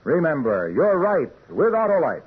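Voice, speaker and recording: A man's voice: fairly high pitch, huge pitch swings, normal speed, background noise. An American man working as an announcer on a vintage radio broadcast, delivering sponsor messages and credits. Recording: muffled, narrow-band old radio broadcast.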